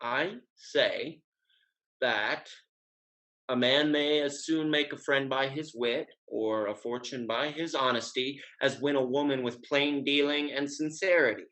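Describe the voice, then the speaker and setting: An actor playing a witty, lovesick gentleman suitor in a Restoration stage comedy, speaking in a formal, wry, sententious way. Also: medium pitch, a little slow, natural voice. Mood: earnest, pleading.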